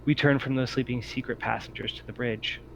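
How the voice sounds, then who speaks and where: A man's voice: medium pitch, fairly even intonation, fairly quick, fairly bright tone. A man acting as game master, speaking to players about a tabletop roleplaying game over a group voice call. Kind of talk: casual explanation and scene narration for a tabletop game. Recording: muffled lo-fi voice chat, clear noise.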